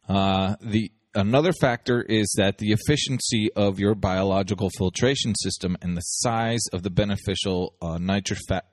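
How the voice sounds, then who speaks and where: A man's voice: sits low, no echo, studio quality. A man hosting a casual solo podcast while getting over a cold, talking loosely with many ums and uhs. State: recovering from a cold. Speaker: podcast host.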